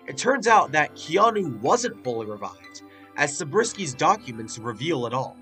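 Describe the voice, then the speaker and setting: Stuffy-nosed guy rambling thru a review, kinda high bright voice, lots of "like" and "uh". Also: very animated, moderate pace, faint music behind. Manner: chatty, with frequent "like" and "uh" fillers. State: stuffy, congested nose. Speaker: video reviewer. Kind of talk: casual spoken review.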